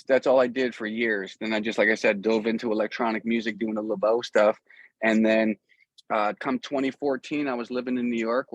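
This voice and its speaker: A middle-aged American man being interviewed as a musician, talking casually and earnestly in halting, rambling sentences with repeated phrases. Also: mid-range voice, no echo. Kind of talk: casual, rambling conversation about a music career.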